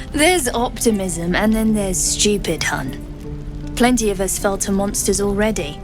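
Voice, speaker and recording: A woman's voice: medium pitch, expressive, slow pace, long pauses, over music. A woman voicing a wry, pessimistic refugee gambler in a fantasy game, with a folksy drawl. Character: wry, pessimistic female refugee who likes a wager. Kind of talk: scripted game dialogue.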